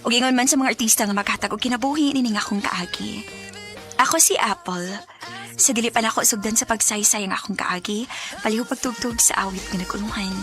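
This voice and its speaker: A young female student from a sentimental radio drama, earnest and tender in love. Her Filipino Bisaya voice is high-pitched and bright, easily tipping into hurt. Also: fairly quick, soft background music. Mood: sincere, narrating.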